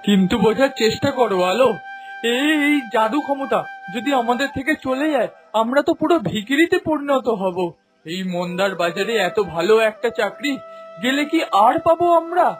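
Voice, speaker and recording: A man's voice: very high-pitched, expressive, moderate pace, soft background music, poor audio. A man voicing an earnest, anxious husband in a cartoon fairy tale, pleading and moralizing with emphasis. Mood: pleading worry.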